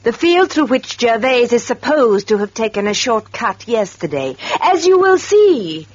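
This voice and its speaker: An actress playing a shrewd farmer's wife in a radio drama, measured, probing and pointed, with a slightly husky voice. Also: female voice, a little high, sing-song. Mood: assured. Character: shrewd, composed farmer's wife, quietly relentless. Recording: dull old radio recording.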